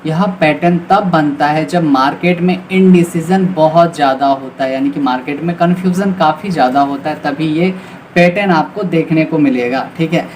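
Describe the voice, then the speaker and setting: A man's voice: a little high, normal speed. A man with an Indian accent giving an informal, explanatory beginners' lesson as a trading tutor, often checking in with short confirmations. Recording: clearly noisy recording with background ambience.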